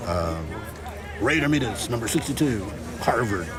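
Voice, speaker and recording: Loud joking man calling a football game. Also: mid-range voice, expressive, moderate pace, over loud music. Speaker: livestream sports host. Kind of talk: casual sports commentary chat. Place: outdoors at a football field. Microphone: phone camera. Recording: crowd noise at outdoor game. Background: crowd at a game.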